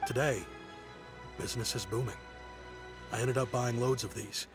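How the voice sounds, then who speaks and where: An actor playing a proud yet kindly merchant in a video game, speaking in a friendly, reflective, softly rueful way. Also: male voice, mid-range voice, fairly even intonation, normal speed, natural voice. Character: nostalgic grown-up male merchant, proud but kindly. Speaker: businessman. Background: game music.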